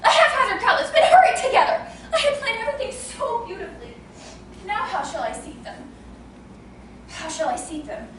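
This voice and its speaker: A woman's voice: high-pitched, animated, a little slow, pauses often. A woman acting the part of an imperious, snobbish society hostess and mother in a stage comedy, melodramatic and easily enraged. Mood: despairing, frantic. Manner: melodramatic, indignant, commanding. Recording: echoey room, distant noisy mic.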